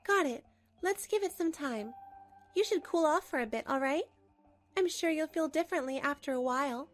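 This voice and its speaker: Woman doing a hurt but firm wife telling her story, manga dub style, high voice, light music behind. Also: normal speed, natural voice. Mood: firm, controlled. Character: wronged older wife narrating her marriage troubles, pragmatic, firm. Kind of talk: dramatic first-person narration for a manga dub.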